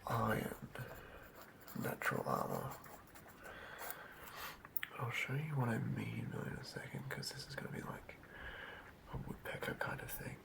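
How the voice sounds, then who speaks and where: A man's voice: medium pitch, wildly swooping pitch, measured pace, creaky, pauses often. A man doing casual ASMR, thinking aloud as he writes and often murmuring words over again. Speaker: ASMR channel host. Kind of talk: whispered ASMR, tabletop game monster writing.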